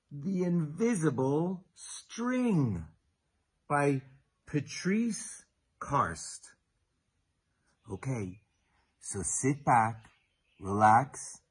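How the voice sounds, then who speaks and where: A middle-aged man reading aloud to children as a teacher, in a playful, deliberate, expressive way. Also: mid-range voice. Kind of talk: children's story time read-aloud.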